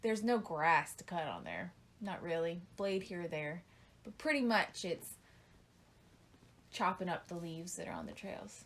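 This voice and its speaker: Teasing, laughing wife on a podcast. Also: female voice, lowish voice, very animated, a little slow. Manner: laughs often, gently teasing and correcting. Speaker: co-host, the host's wife. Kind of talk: casual chat with her husband.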